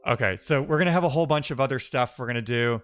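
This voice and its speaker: A man's voice: mid-range voice, quick pace, a bit bright, clean tone, no echo, faint music behind. A man hosting a live-coding stream, talking casually and thinking aloud as he works and answers his chat. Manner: informal, reading and answering chat messages aloud. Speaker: live-streaming programmer, host.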